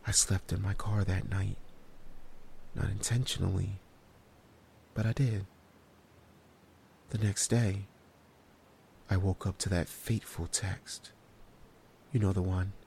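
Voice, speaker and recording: Shaken survivor, low pitch, creaky fry, haunted measured storytelling, horror audio drama, faint background noise. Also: male voice, level tone, mellow, full character voice. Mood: shaken, weary. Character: shaken male survivor recounting a harrowing escape.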